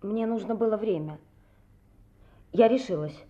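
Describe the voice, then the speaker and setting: A woman's voice: mid-range voice, a little slow, dark tone, lightly acted. An actress playing a reluctant but resolved young woman witness in a Russian radio play. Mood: hesitant, resolved. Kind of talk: audio play dialogue. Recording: slightly muffled recording.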